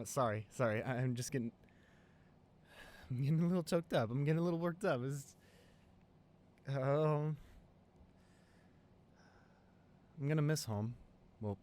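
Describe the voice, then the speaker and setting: An excited young college boyfriend played by a man in an improvised ASMR roleplay. He gushes in a mid-pitched male voice, stumbling and repeating himself with giddy, sentimental wonder. The delivery is intimate roleplay addressed to a partner. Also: a little hiss or hum, lightly acted. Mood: choked up. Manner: gushing, halting, repeats himself in amazement.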